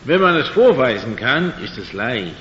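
A man's voice: sing-song, a bit bright. An older man speaking German as a second language, giving an evening talk on faith with dry humor and frequent hesitations. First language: not German. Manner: slow, halting, with dry humor and many fillers. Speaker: lecturer. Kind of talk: evening lecture on creation and faith. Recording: dull, echoey hall recording with faint rumble.

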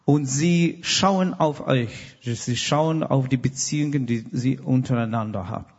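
A man's voice: mid-range voice, a little slow, a little mellow, highs cut off. Earnest man in a reverberant hall. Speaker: guest. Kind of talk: personal testimony.

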